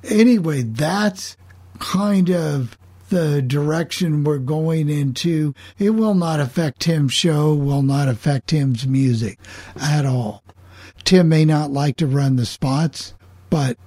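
A man hosting a casual group discussion, explaining things in a measured way. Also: fairly high pitch, dark tone, breathy edge, no echo, clean studio sound. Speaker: host of a group coffee club chat on an internet radio station.

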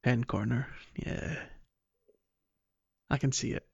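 A man's voice: medium pitch, steady tone, slow, gravelly, a little mellow, breathy edge. Man chatting, slightly dull audio. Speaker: podcast co-host. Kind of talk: casual gaming podcast chat.

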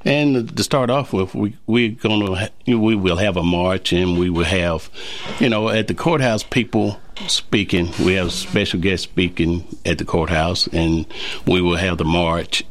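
Low voiced guy on a radio show, plain and halting, faint noise behind. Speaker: civic group president, guest.

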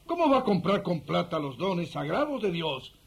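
A man's voice: high voice, sing-song, normal speed, breathy edge, heavily acted. A man acting in a radio drama as Peter, a humble but firm apostle with an earnest, admonishing manner. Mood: indignant, scolding.

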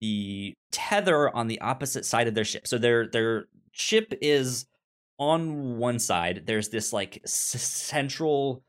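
A man's voice: mid-range voice, lively intonation, slow. A young man co-hosting a casual movie discussion, stammering and often repeating sounds and words as he talks. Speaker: podcast co-host.

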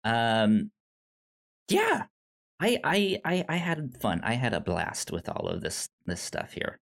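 A man co-hosting a podcast in casual chat, halting and stammering over repeated words. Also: mid-range voice, wildly swooping pitch, creaky. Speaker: podcast co-host. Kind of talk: casual chat about shows and films.

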